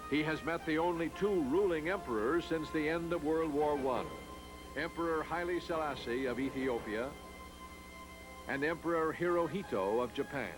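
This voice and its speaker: A man's voice: fairly high pitch, rough recording. Formal male narrator over music. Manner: measured, formal announcer delivery. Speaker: documentary narrator. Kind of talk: scripted narration.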